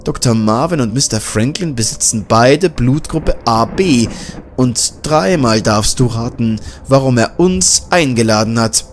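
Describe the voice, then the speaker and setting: A man's voice: mid-range voice, lively intonation, unhurried, slight background noise, lightly acted. A man voicing a determined male journalist who speaks tensely.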